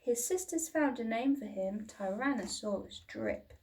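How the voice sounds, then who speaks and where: A woman's voice: fairly high pitch, animated, a little slow, a little mellow. A woman reading a children's storybook expressively, like a teacher, recorded at a distance from the mic.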